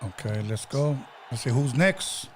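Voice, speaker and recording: A man's voice: mid-range voice, expressive, measured pace, slight background noise. A man hosting a call-in radio show, upbeat and slangy as he takes callers. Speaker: host.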